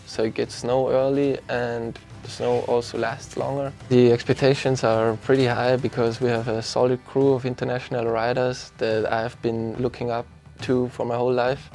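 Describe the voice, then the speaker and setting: A man's voice: medium pitch, flat delivery, normal speed, a little mellow, soft background music. A man who organizes the ski event, speaking enthusiastically in a documentary interview.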